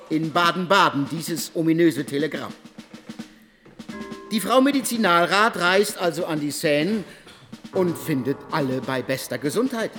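Higher-pitched male radio narrator, formal. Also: fairly bright tone. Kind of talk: scripted documentary narration in a radio play.

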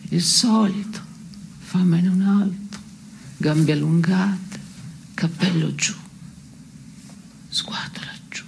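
Dry absurdist stage woman: cynical, laconic deadpan, lowish pitch, faint noise on the broadcast. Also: slow pace, gravelly, long pauses. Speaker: stage actor. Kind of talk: absurdist two-hander theatre dialogue. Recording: noisy radio broadcast of theatre piece.

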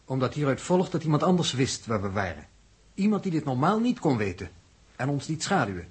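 An actor playing a dry, persistent, suspicious spaceman who reasons deliberately in a 1960s Dutch radio drama. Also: mid-range voice, sing-song, slightly rough. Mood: pointed, suspicious.

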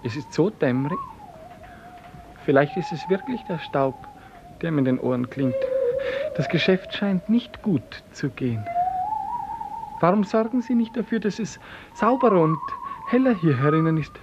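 An actor playing a timid, apologetic, curious gentleman in a 1950s German radio play, hesitant and stammering. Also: on the high side, lively intonation, normal speed, pauses often. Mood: uneasy. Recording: muffled, very noisy old radio recording.

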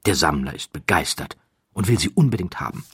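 A man narrating a scripted documentary story in a measured, emphatic and wry manner, close to the microphone. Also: deep voice, lively intonation, a bit bright, breathy edge, no room sound.

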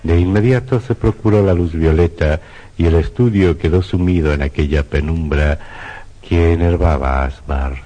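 Very deep, solemn male storyteller. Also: moderate pace, dark tone. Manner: solemn, dramatic. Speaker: radio drama narrator. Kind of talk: dramatic scripted horror narration. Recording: dull lo-fi radio recording. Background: faint music.

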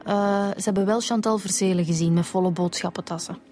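A woman's voice: on the low side, steady tone, fast talker, mellow, natural voice. A woman with a Flemish accent playing an eager, thorough police detective in a radio crime drama, talking in a colloquial, down-to-earth way. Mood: matter-of-fact. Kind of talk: naturalistic radio drama dialogue.

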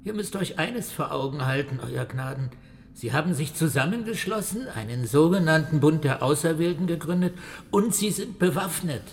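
A man's voice: a little high, animated, moderate pace. An actor playing an obsequious, aggrieved bailiff in a historical radio drama, fawning and defensively complaining to his lord. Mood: indignant. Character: obsequious, aggrieved male bailiff and tax collector.

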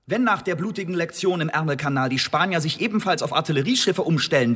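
An anxious, eager Elizabethan secret agent. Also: male voice, a little high, fairly bright tone, slightly rough. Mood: eager, insistent. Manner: halting, self-justifying, with repeated restarts. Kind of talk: German radio drama acting.

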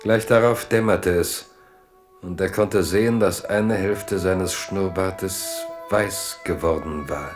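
Solemn guy narrating a literary radio play, really slow and measured, bit of music underneath. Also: low-pitched, fairly even intonation. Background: faint music.

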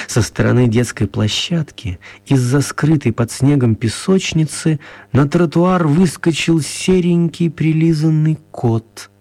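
A man narrating a children's radio play as an expressive storyteller, with theatrical, deliberate phrasing. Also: a little high, dry recording.

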